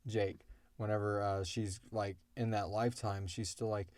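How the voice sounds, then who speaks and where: Low-voiced man in rambling casual podcast chat. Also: fairly even intonation, normal speed, a little mellow, no echo. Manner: rambling, joking explanations with acted-out quotes. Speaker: podcast co-host and creator of an audio drama, answering fan questions. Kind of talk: casual question-and-answer chat.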